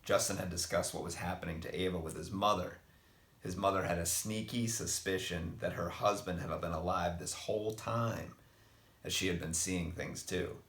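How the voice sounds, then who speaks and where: A man reading a scripted spooky story for children in an expressive narrating style, with a gravelly voice. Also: deep voice, normal speed. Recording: roomy, echoing voice.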